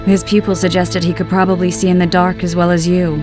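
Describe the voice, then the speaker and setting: An actress playing a composed, learned sorceress in a video game, speaking in a measured, articulate, gently argumentative way. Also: female voice, lowish voice, level tone, over music, lightly acted. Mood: composed, reasoning. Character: composed, learned adult sorceress, loyal and reasoning. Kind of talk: video game cutscene acting.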